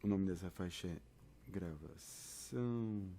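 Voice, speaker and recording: A man's voice: low-pitched, slow pace, warm tone, slight background noise. A man with a Brazilian accent presents a step-by-step tutorial in an informal, chatty way, speaking directly to the listener. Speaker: theatre and audio tutorial presenter.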